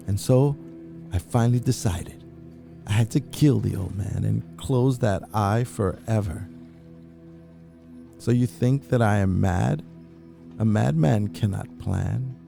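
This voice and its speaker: A man giving a dramatic reading of a classic horror story, brooding and intense, with sudden hushed drops and rising outbursts. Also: mid-range voice, vocal fry. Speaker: reader.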